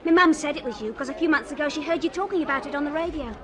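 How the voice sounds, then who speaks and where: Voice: high-pitched, racing, a crowd in the background, noisy background, natural voice. A performer playing an eager, blunt, artless schoolgirl in a radio drama.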